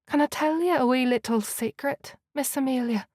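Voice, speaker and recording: A woman's voice: high voice, a little mellow, no room sound, voice pushed up. A woman voicing a kindly, fussing middle-aged housekeeper with a Scottish accent in an audiobook. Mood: doting. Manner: chatty, doting, brisk. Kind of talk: audiobook character voice.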